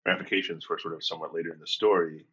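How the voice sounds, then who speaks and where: A man's voice: low-pitched, level tone, rapid-fire, fairly bright tone, husky, dull audio. A man in his fifties, a leadership coach from England and Jamaica, telling his story in a thoughtful, open way with frequent restarts. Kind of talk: reflective conversational storytelling.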